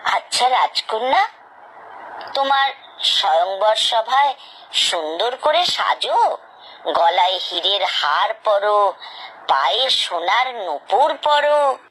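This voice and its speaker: A woman voicing a wise, motherly golden parrot advising a princess in a cartoon, speaking deliberately. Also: mid-range voice, big pitch swings, a bit bright, full character voice, rough recording. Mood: gently instructing. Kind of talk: cartoon story dialogue.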